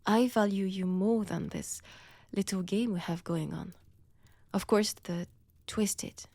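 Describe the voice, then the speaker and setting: A woman's voice: mid-range voice, warm tone, dry recording. A woman with a French accent playing a centuries-old vampire, wounded and obsessive, in an intimate roleplay audio, often dropping into whispers. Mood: tender, confessional. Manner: slow, deliberate, theatrical with long pauses.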